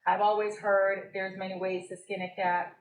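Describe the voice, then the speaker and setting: A woman's voice: medium pitch, little pitch movement, normal speed, echoey room, rough recording. A young woman from Jamaica, a business co-owner and guest on a live podcast, telling her story and laughing often. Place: stage at a live event.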